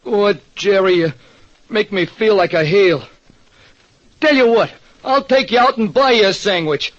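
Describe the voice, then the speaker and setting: A man voicing a gloomy, excitable working-class American diner owner in 1940s radio style, plaintive and slangy. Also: high-pitched, unhurried, pitched above the performer's own voice. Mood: sheepish. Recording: dull, noisy old radio recording.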